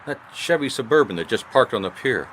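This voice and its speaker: An actor playing a shrewd, dogged private detective in a radio mystery, questioning people in a wry, seemingly casual way. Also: male voice, medium pitch, expressive, fairly quick, fairly bright tone, close to an everyday voice. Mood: puzzled, watchful. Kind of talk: radio mystery drama acting. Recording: noisy radio drama recording.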